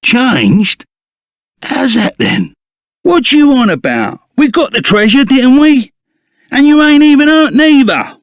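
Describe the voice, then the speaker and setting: An actor playing a loyal, excitable reformed bandit sidekick in a video game, with a Cockney accent and blunt, slangy speech. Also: male voice, very high voice, slow pace, no echo, studio quality, full character voice. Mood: excited, badgering. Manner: rough colloquial slang, blunt and emphatic. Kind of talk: video game character voice acting.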